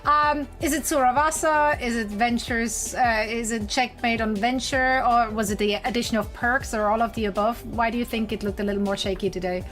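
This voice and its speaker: A woman with a fairly high voice hosts an esports desk. She keeps the chat loose and playful, slipping in jokes between match talk. Faint music sits under her voice. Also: fairly even intonation, brisk, few pauses, a bit bright. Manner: playful, joking, chatty.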